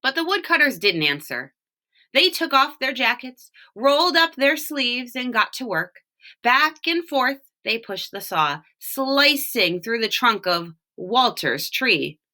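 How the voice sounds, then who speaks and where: A woman reading a children's storybook aloud, with expressive, deliberate phrasing and short explanations. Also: fairly high pitch. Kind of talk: read-aloud storytelling with brief picture explanations.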